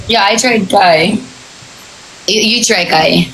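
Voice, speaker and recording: A woman's voice: mid-range voice, slow pace, a bit bright, slightly rough, low-quality recording. A woman with a Filipino accent chatting casually as co-host of a live stream, mixing Tagalog and English.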